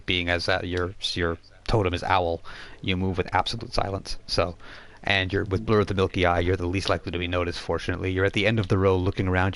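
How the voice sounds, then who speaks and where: Low-pitched man improvising as game master. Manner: halting, conversational, repeats phrases while improvising. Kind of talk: narrating a tabletop role-playing game. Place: live online game stream.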